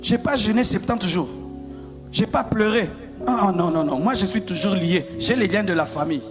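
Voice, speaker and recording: Congolese pastor guy, preaching loud and fervent, keeps repeating lines, music going behind him. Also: high-pitched, normal speed. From Democratic Republic of the Congo. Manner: fervent, repeats lines, half-sung shouting. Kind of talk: shouted sermon.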